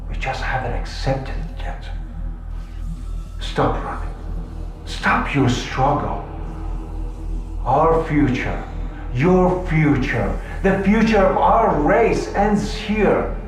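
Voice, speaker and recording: An actor playing a fanatical mad doctor in a video game, preaching doom in a slow, grandiose and menacing way. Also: male voice, a little high, lively intonation. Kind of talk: dramatic video game villain acting. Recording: echoing hall, loud music behind.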